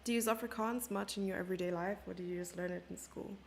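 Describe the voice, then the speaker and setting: Chess streamer, a woman with a South African accent, chatty with her chat, a bit of fry in the voice, mid-height pitch. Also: steady tone, quick pace, a little hiss or hum. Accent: South African English. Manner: chatty, reads chat questions aloud and answers them. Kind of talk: live chess commentary, chatting with viewers.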